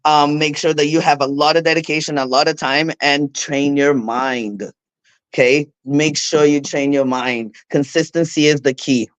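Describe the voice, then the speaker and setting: A woman's voice: low voice, fairly even intonation, moderate pace, no echo. A woman from Brazil with a Brazilian Portuguese accent, speaking candidly as a guest in a casual interview, laughing often. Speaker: guest, figure bodybuilder.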